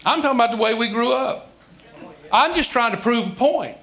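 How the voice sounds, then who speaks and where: A man's voice: extremely high pitch, animated, fairly quick, fairly bright tone. A man in his sixties with a Southern American accent, preaching a sermon emphatically, with rhetorical questions and folksy asides. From Southern United States. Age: 60s. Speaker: pastor.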